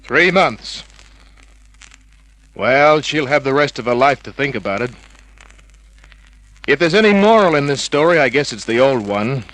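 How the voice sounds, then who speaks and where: An actor playing a cocky, wisecracking hard-boiled private investigator in an old-time radio drama, flippant, slangy and smug. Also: male voice, mid-range voice, expressive, a little slow, pauses often, a bit bright, rough recording. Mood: worldly, flippant.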